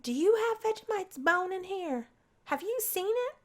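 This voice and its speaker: A woman voicing a nervous, teasing young female housemate in a visual novel, with an excitable manner. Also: very high-pitched, moderate pace, voice pushed up. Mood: nervous, hushed urgency.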